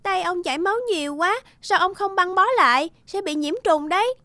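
A very high-pitched, earnest young sister. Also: female voice, a little fast, pushed and bright, no echo, faint music behind. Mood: earnest, anxious. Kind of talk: animated morality tale voice acting.

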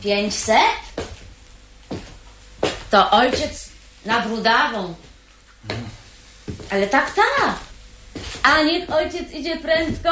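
An echoey old radio recording carries a cheeky teenage girl. Her voice is very high and lively, mocking and exclaiming in a sing-song. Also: drawn-out words, long pauses, noisy background, exaggerated voice. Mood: teasing. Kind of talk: theatrical radio play acting.

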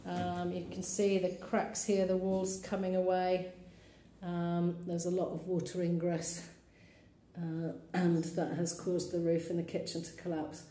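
A woman gives a practical, matter-of-fact tour of an empty townhouse, speaking into a handheld phone. Her voice is low, mellow and fairly flat, and it echoes around the bare rooms over a faint hum of ambience. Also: measured pace. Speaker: estate agent giving a property tour. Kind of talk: walkthrough tour commentary. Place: empty, run-down townhouse indoors. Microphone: phone camera held while walking.

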